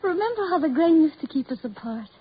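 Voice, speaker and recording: An actress playing a gentle, trusting young woman in a 1940s radio drama, with an earnest, theatrical delivery and breathy voice. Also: extremely high pitch, very animated, fast talker. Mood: adoring, earnest. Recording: muffled 1940s radio recording.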